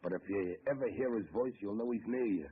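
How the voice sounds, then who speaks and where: A man's voice: brisk, breathy edge. An actor playing a threatening male gangster sentenced to death, in an old radio drama. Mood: coaxing. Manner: threatening, low and intense. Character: menacing male gangster, condemned killer. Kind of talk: 1930s radio drama acting. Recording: muffled old radio recording with hiss.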